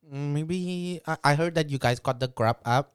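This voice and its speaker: A man's voice: a little high, normal speed, smooth, no echo, studio quality, natural voice. A man putting on a chatty guest with a Thai-Filipino accent.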